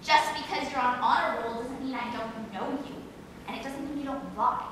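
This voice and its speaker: A woman's voice: high-pitched, moderate pace, a bit bright. A woman playing a bossy, melodramatic teenage girl in an amateur stage play, with a theatrical, insistent delivery. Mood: accusing, scheming. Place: stage performance in a large hall. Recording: echoey hall, distant mic, faint noise.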